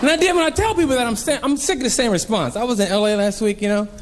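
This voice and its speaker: A young man performing a stand-up comedy set on a club stage, telling animated stories and acting out characters. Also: very high voice, rapid-fire. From Columbus, Ohio. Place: comedy club stage before a laughing crowd. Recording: live club recording with crowd laughter and noise.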